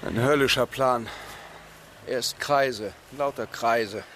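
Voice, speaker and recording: A man playing a brooding, intuitive police detective in a radio crime play, explaining deliberately and insistently. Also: mid-range voice, a bit bright, a little hiss or hum. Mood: reflective. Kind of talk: naturalistic radio drama dialogue.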